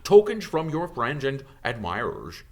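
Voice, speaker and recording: A man voicing a kindly, calm old wizard headmaster in an audiobook, musing and hesitating as he gently reassures. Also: medium pitch, measured pace, pitched above the performer's own voice. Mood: amused, genial.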